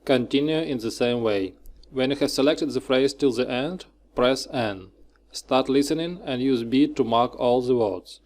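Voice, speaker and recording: A man narrating a scripted software tutorial, giving short, clipped step-by-step instructions. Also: medium pitch, a little slow.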